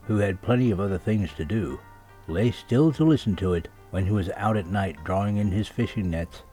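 A man narrating a children's story with expressive, scripted storytelling delivery. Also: on the low side, normal speed. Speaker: storybook reader. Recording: music laid behind the voice. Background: music and sound effects.

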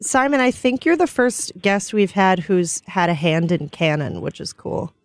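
A woman's voice: mid-range voice, sing-song, normal speed, no room sound. A woman co-hosting a podcast, chatting casually with a guest. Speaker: podcast co-host. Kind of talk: casual interview chat.

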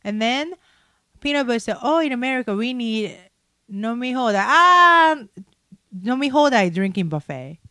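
A woman with a Japanese accent, co-hosting a casual chat show, playful and quick to laugh. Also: a little high, animated, sharp tone, no room sound, clean studio sound. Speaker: podcast co-host.

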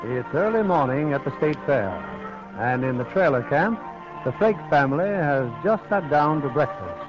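A man announces in 1940s radio style with scripted narration. His voice is a little high, set over prominent music. The recording is muffled. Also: expressive, moderate pace.

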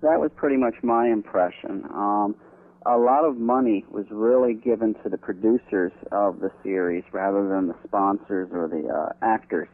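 A man's voice: lowish voice, normal speed. A man speaking as an interview guest over the telephone, explaining things informally. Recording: narrow, tinny phone line.

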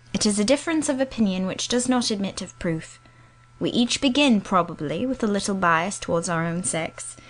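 A woman voicing a thoughtful, gentle young woman in an audiobook, measured and earnest. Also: medium pitch, lightly acted. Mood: reasoning. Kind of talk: audiobook character reading.

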